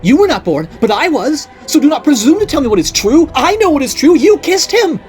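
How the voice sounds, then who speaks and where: A woman voicing an unhinged, jealous middle-aged noblewoman who rants and accuses in a shrill voice. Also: very animated, a little fast, a little mellow, faint music behind. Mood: ranting. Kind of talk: audiobook character voice.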